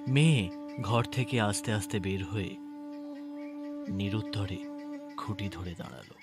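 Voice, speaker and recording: Male narrator, medium pitch, slow expressive delivery, literary storytelling, prominent music bed. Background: background music.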